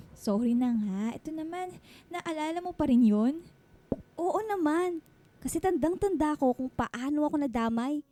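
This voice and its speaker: Radio drama friend: young, chatty, teasing, colloquial, with a notably high, mellow voice. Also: female voice. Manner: playful, bantering, colloquial.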